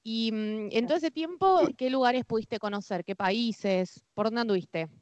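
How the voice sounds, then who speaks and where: A woman with a Rioplatense accent speaks in a fairly high voice, recorded dry and close with little room sound. She hosts a conversational podcast interview and sounds enthusiastic about it. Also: a little slow, no echo. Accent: Rioplatense Spanish. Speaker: podcast host.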